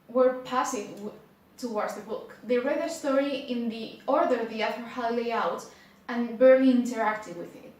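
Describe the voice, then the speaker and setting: A woman with a fairly high voice gives an informal explanation. She sounds away from the microphone in a very echoey room. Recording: very echoey room, distant mic.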